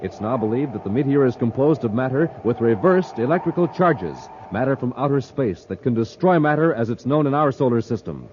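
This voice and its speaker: A man's voice: mid-range voice, animated, a little fast, hardly any pauses, darkish tone. A man announcing and narrating in a dramatic 1950s radio style. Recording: noisy, dull old radio recording.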